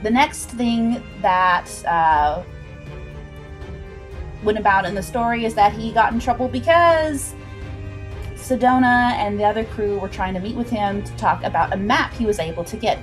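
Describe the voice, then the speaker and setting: A woman's voice: mid-range voice, moderate pace. A woman hosting a livestream, chatting informally with viewers and recapping a story, with asides to the chat. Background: background music.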